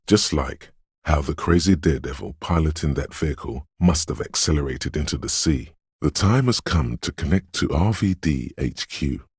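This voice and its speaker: An eerie inner voice of intuition from a video game. It is extraordinarily low and thick with rasp. The delivery is cryptic and intimate, like dramatic narration addressed straight to the listener, captured close and dry. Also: male voice, a little mellow, no echo, exaggerated voice. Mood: ominous. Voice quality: very deep, gravelly rasp. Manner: ominous, cryptic, theatrical, intimate.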